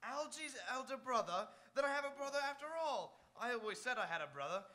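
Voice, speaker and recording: A man playing an earnest, excitable young gentleman in live radio theatre, urgent and theatrical. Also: very high-pitched, fairly quick, heavily acted. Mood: excited revelation.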